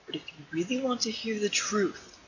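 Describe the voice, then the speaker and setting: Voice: mid-range voice, expressive, moderate pace, fairly bright tone, dull audio, a little hiss or hum, lightly acted. A performer voicing a laid-back, guarded male skeleton with slangy casual talk in a fan comic dub. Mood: weary, evasive. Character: Sans, laid-back male skeleton hiding a painful past.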